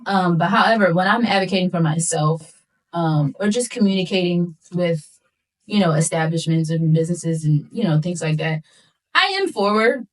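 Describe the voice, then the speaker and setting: A young woman from West Philadelphia, a singer guest, talking in a relaxed conversational way with frequent fillers. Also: lowish voice, moderate pace, low-quality recording. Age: 20s. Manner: relaxed, lots of fillers like um and you know. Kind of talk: casual conversation.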